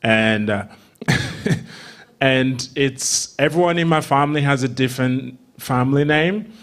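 A man in his twenties from Rwanda, a comedian guest telling casual, humorous stories haltingly into a handheld stage mic. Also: mid-range voice, a bit bright. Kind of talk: casual humorous storytelling in interview. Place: theatre stage before a seated audience. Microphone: handheld microphone.

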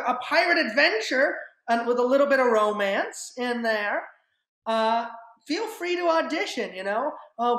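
Young female student writer, informal announcer, fairly high pitch, roomy call sound. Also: fairly bright tone. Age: young adult. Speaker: head writer of student audio drama club. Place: remote video call indoors. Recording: echoey room over video call.

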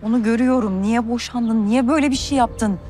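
A woman's voice: fairly high pitch, fairly quick, warm tone, faint music behind, natural voice. An actress playing a reserved, weary woman in her thirties in a television drama, restrained and firm yet polite. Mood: wary, exasperated. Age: 30s.

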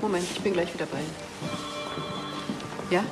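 An actress playing a brisk, commanding female police detective in a TV crime drama. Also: lowish voice, loud music behind the voice, close to an everyday voice. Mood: steady.

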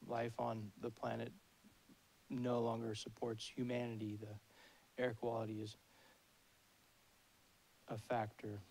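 A man in his thirties giving a keynote Q&A from a podium in a large hall, speaking in a thoughtful, measured way into a handheld mic. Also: medium pitch, monotone, gravelly, a little mellow. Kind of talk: lecture Q&A.

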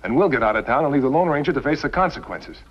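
A man's voice: mid-range voice, animated, racing, husky. An American actor in his thirties playing a wary, doubtful outlaw henchman in a 1950s TV western. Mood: going along. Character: wary male outlaw henchman, doubtful but willing accomplice. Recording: dull old 1950s television soundtrack.

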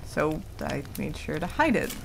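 A woman's voice: sits low, very animated, unhurried, full character voice. A woman playing a prickly, easily flustered tsundere werewolf in a casual ASMR roleplay, with a defensive, stammering manner. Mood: reluctant, vulnerable. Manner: casual, defensive, stammering when embarrassed, swears. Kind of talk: intimate ASMR roleplay acting. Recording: clearly audible background noise.

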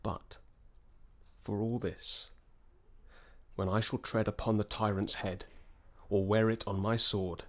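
Man playing a brooding prince in a shakespeare radio play, lowish voice, measured and oratorical. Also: flat delivery. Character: Malcolm, brooding exiled prince rallying men to revenge. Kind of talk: classical Shakespearean radio drama acting.

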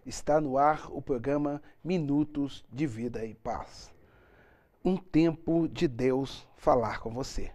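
A man in his thirties with a Brazilian accent, preaching as a pastor in an earnest, emphatic devotional style with deliberate pauses. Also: medium pitch, darkish tone. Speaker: pastor, programme host. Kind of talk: televised devotional sermon and prayer. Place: TV studio, indoors. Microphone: lapel mic. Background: faint music.

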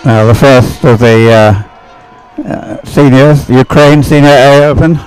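A man's voice: medium pitch, fairly even intonation, unhurried, a little mellow, soft background music, some chatter behind, low-quality recording. A man giving live sports commentary on a race, observing and thinking aloud with hesitant phrasing.

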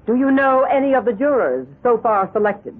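An actress playing a formal American prosecutor in a radio drama, putting precise questions. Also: female voice, fairly high pitch, animated, moderate pace, slightly rough. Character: formal, brisk female prosecutor questioning in court. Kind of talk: 1970s radio drama acting. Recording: muffled old radio broadcast.

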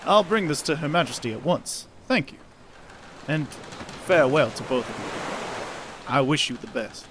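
A man's voice: medium pitch, animated, a little slow, background noise, natural voice. An actor playing a courteous, formal and dutiful servant of a queen in a fantasy audio drama.

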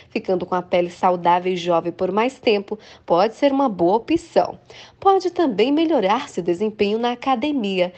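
A woman's voice: fairly high pitch, darkish tone. A woman with a Brazilian accent narrating an instructional voice-over as a channel host, explaining things directly to listeners. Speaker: health tips channel host.

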